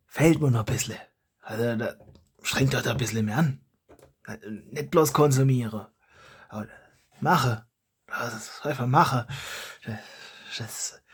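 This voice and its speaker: A man's voice: medium pitch, darkish tone, slightly rough. A man with a German regional dialect, hosting his hobby channel in a rambling, self-correcting casual monologue.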